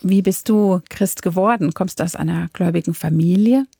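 A woman interviewing a guest, asking calm, clear questions. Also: lowish voice, moderate pace, no room sound, studio quality.

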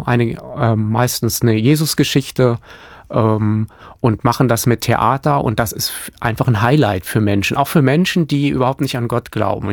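A man's voice: mid-range voice, dry recording, studio quality. A man from Hamburg, a church planter, answering interview questions thoughtfully, often restarting his sentences.

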